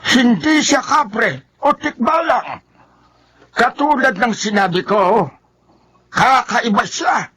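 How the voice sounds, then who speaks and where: An actor playing a forceful, commanding folk healer who battles evil spirits in a Filipino radio horror drama, with emphatic delivery and a Filipino accent. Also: male voice, very high voice, big pitch swings, slow, slightly rough, heavily acted. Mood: grave, explaining.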